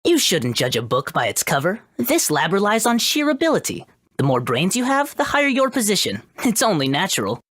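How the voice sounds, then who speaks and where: Lively, confident boy genius. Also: mid-range voice, brisk, no room sound, studio quality. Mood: self-assured. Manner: self-assured, matter-of-fact, bossy. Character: confident brainy boy genius, head researcher. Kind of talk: video game voice acting.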